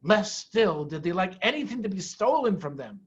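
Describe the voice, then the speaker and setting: A man giving an expressive, dramatic reading of a children's story, with deliberate phrasing. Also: high voice, breathy edge, highs cut off. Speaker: head of school reading to pupils.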